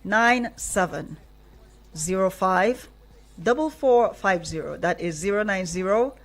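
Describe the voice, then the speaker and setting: A woman with a Nigerian accent presents on live radio. Her voice sits at a middling pitch, recorded on a studio microphone as she addresses guests and listeners. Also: animated, unhurried. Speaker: radio show host. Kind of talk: live radio hosting and interviewing.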